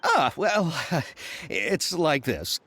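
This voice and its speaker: A man's voice: a little high, sing-song, extremely slow, fairly bright tone, a little hiss or hum, heavily acted. A man playing a nervous, eager young man in a video game, hesitant and apologetic as he talks. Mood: flustered, sheepish. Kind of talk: video game character voice acting.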